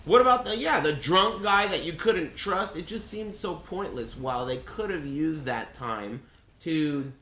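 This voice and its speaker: A man's voice: on the high side, expressive, a little slow, bright, forceful tone. A man giving casual, sarcastic and exasperated game commentary as a live streamer, swearing freely. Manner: blunt, exasperated, profane, sarcastic. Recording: roomy echo, faint background noise.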